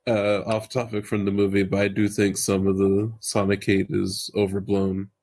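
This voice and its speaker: A man chatting casually as a podcast panelist, offering his thoughts in a hesitant, measured way. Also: on the low side, monotone, a little mellow. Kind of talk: casual chat.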